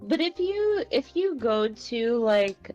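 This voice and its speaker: A woman's voice: on the high side, big pitch swings, slow pace, darkish tone, lo-fi sound. A woman in her thirties from Canada, co-hosting a casual chat with emphatic, rambling talk full of "like" and restarts. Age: 30s. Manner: chatty, emphatic, frequent likes and restarts. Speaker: co-host. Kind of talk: casual chat while gaming. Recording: faint game music behind.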